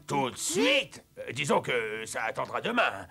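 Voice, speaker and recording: A man voicing a gruff but soft-hearted old grandfather in a French cartoon dub, wry and grumbling. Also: mid-range voice, huge pitch swings, a little slow, soft background music, exaggerated voice. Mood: hesitant, amused. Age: older. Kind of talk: French animated series dub.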